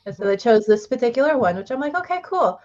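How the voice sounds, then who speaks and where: A woman's voice: a little high, lively intonation, fast talker, a little mellow. Chatty woman with a slightly muffled sound. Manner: rambling, laughs often, many fillers. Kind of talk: casual book club chat.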